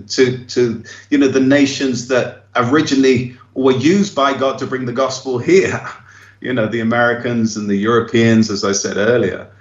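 An English missionary pastor being interviewed remotely, speaking earnestly and reflectively, often chuckling as he talks. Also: medium pitch. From England. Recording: roomy, muffled remote line.

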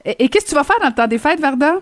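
A woman's voice: high-pitched, lively intonation, very fast, no echo. A woman with a Quebec French accent chatting casually and effusively as a radio host, often repeating words as she goes.

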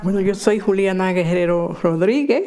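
A woman in her sixties with a Dominican Spanish accent, giving halting interview testimony full of restarts. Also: mid-range voice, mellow. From Dominican Republic. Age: 60s. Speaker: interviewee, domestic worker.

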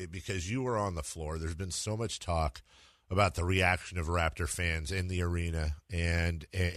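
A man's voice: low-pitched, fairly bright tone, dry recording, studio quality. A man hosting a sports radio show, putting conversational questions to a guest.

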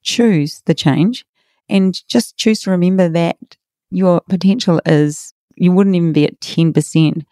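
A middle-aged woman hosts a motivational podcast, her voice raspy and hoarse. She talks in an earnest, encouraging way, her tone mellow and warm. The recording is dry and close, with no room sound. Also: on the low side, measured pace, dry recording. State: hoarse from a workshop. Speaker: podcast host and coach.

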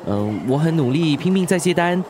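A man's voice: fairly high pitch, expressive, brisk, close to an everyday voice. Actor as easygoing expectant father, noisy street. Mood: cheerful, content. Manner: easygoing, chatty. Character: good-natured, hardworking expectant father, a delivery rider. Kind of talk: radio drama acting. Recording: clear street and traffic noise.

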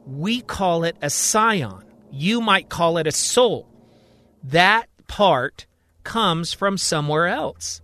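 A man voicing a calm, patient alien who explains things gently and deliberately in an audio drama. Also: sits high, full character voice. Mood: patient, explanatory.

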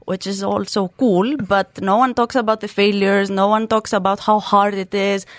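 A woman's voice: medium pitch, steady tone, normal speed, no echo. A woman from Crete in her thirties, a guest in a radio studio interview, talking in a chatty way with lots of fillers and restarts. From Greece (Crete). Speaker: guest, startup co-founder.

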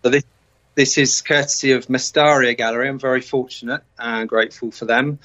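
A man being interviewed as an artist, chatting casually with a halting delivery. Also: medium pitch, steady tone, sharp tone. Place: remote call from the UK. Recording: dull, muffled remote call.

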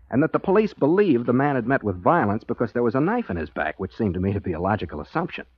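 An actor playing an earnest, daydreaming rookie private detective, boyish and wryly self-deprecating, in 1940s radio style. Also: male voice, mid-range voice, lightly acted. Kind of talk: 1940s radio acting with first-person narration. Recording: dull vintage 1940s radio, music behind.

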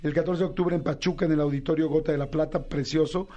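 A man from Mexico with a Mexican accent, hosting a radio interview with eager, curious questions and quick rundowns. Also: fairly high pitch, steady tone, warm tone, dull audio. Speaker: radio host.

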